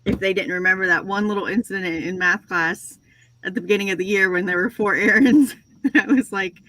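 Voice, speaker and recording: A woman's voice: mid-range voice, a little fast, pushed and bright, a little hiss or hum, poor audio. A woman in her forties hosting a casual livestream chat, talkative and self-deprecating, laughing often as she tells stories. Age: 40s. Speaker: host of a reseller livestream.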